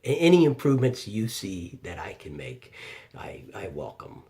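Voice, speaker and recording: A man giving an informal spoken review, halting and restating phrases for emphasis. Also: lowish voice, big pitch swings, mellow. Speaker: audiobook reviewer.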